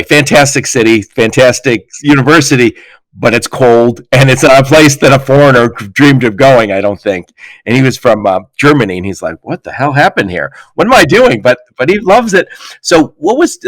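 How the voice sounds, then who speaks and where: A man hosting a podcast interview, telling stories in a lively way and laughing often. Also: mid-range voice, no room sound. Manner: animated storyteller, laughs often. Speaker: podcast host. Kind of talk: casual interview chat.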